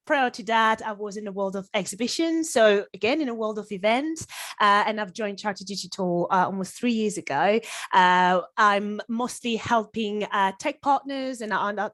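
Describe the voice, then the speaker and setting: A woman's voice: medium pitch, a bit bright, dry recording. A woman from France with a French accent presents an informal webinar, speaking hesitantly and often repeating words as she goes.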